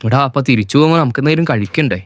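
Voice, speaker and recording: A man's voice: medium pitch, expressive, very fast, no echo, studio quality, natural voice. A man playing a cheeky, meddling friend who teases and wisecracks in a comedy cartoon. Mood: nosy, bargaining. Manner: wisecracking, teasing. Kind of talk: comedy cartoon skit dialogue.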